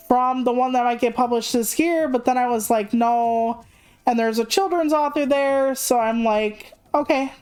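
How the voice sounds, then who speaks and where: A woman's voice: high voice, a little mellow, clean tone. A woman livestreamer chatting casually in a candid, rambling, self-deprecating way, swearing freely. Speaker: video game livestreamer.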